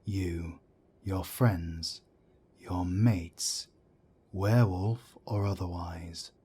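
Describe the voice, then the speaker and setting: A man voicing a grave male werewolf council elder who speaks in a solemn, measured way. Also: sits low, warm tone, slight background noise. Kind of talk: amateur audio drama acting.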